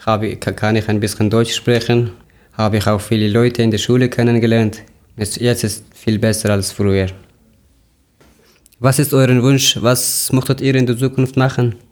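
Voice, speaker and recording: A man's voice: mid-range voice, level tone, moderate pace, a little mellow. A young man from Afghanistan hosting a podcast interview, talking hesitantly with many fillers and restarts. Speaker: podcast host.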